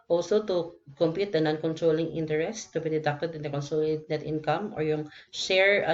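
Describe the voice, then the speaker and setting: A dull, lo-fi recording carries a woman's low voice with a Filipino accent. She lectures step by step through worked problems, adding little check-in tags as she explains. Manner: explanatory, often checks with okay and no. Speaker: accounting lecturer.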